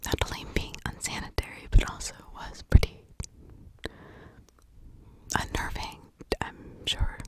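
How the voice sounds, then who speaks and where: A woman whispers a true crime story in ASMR style, very unhurried. Her voice is breathy and unvoiced. She breaks her sentences into short, halting phrases with pauses between them.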